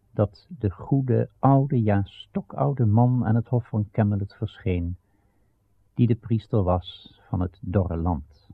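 Male storyteller for radio drama: unhurried, breathy, solemn, dry and narrow-band sound. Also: on the low side, no room sound. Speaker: storyteller narrator.

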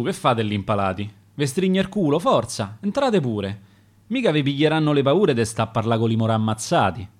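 A man's voice: fairly high pitch, pitched above the performer's own voice. A man with a Roman accent voicing a proud, hearty old dead grandfather who once ran a restaurant. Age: older.

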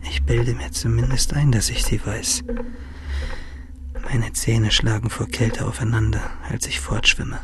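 A man playing a freezing, exhausted shipwrecked fisherman, alone and delirious at sea, in a halting, breathy radio drama monologue. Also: medium pitch, flat delivery, a bit bright, lightly acted. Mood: exhausted, fearful. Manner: halting, rambling, breathy whispers breaking into pleading. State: cold, exhausted.

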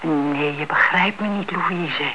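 An actress playing a curious, suspicious elderly aunt in a radio play, hesitant but persistent, on a noisy old recording. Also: female voice, sits low, slow, highs cut off. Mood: insistent, wondering. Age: older.

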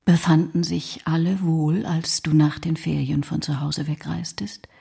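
A grave, gentle matron in a radio play. Her low voice is half-whispered and creaky, and she speaks slowly and solemnly. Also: little pitch movement, full character voice. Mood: grave, hushed. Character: solemn schoolmaster's wife gently breaking grave news to child.

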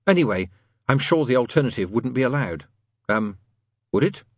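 A fussy, polite angel, played by a man reading a storybook, lilting widely in pitch with a slightly husky tone. He is mild and a touch stiff, hesitating courteously, recorded close and dry. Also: sing-song, normal speed, no echo. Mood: hopeful, uncertain. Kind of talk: dramatised audiobook reading.

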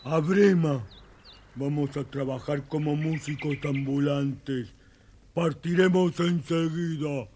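An actor playing a touchy, grumbly old toothless dog in a children's audio story, woofing between phrases. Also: male voice, fairly high pitch, steady tone, takes it slowly, mellow, a little hiss or hum. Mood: matter-of-fact. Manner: barks out woofs between phrases.

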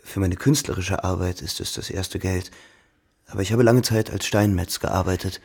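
An actor playing a humble, earnest young count and sculptor, deferential in manner, in a German radio drama. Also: male voice, deep voice, steady tone, moderate pace, gravelly, darkish tone, slightly rough, heavily acted. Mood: modest. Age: young adult.

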